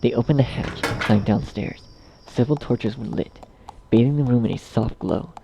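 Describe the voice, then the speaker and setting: A man's voice: mid-range voice, normal speed, vocal fry, background noise. A man narrating a scripted horror story.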